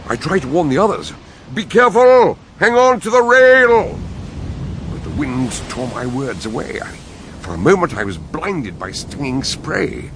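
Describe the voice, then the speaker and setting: An actor playing a mild, anxious man recounting a shipboard mystery in radio drama, hesitant and expressive. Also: fairly high pitch, a little slow, full character voice. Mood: urgent narration. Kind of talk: British radio drama acting and narration. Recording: noisy radio drama with ambience.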